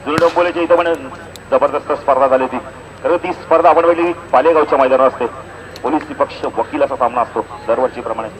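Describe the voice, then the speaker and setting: A man's voice: medium pitch, fairly even intonation, fast talker, low-quality recording. A man with a Maharashtrian accent doing live cricket commentary and announcements. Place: outdoor cricket ground. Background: crowd.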